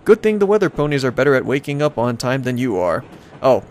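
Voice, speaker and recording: A man's voice: medium pitch, sing-song, fast talker, faint music behind. A man hosting a let's play, casually commenting and reading aloud in a playful, rambling way as he reacts to the game.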